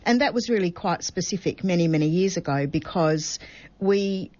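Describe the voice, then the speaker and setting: A woman's voice: on the low side, normal speed, darkish tone. A middle-aged woman, a singer in a vocal duo, explaining fluently in a casual chat. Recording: dull, dry studio sound.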